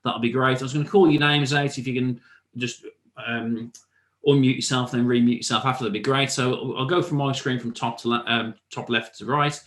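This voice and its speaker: A man hosting an online networking call, calling people in turn in a brisk, informal way. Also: mid-range voice, fairly even intonation, echoey room. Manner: brisk, informal, repeats "brilliant" between people. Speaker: host. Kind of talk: casual online meeting hosting. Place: video call. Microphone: video call.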